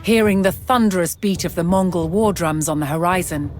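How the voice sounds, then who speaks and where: A woman reading scripted documentary narration in a measured, dramatic storytelling manner. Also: fairly low pitch, darkish tone. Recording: music under the voice. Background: music.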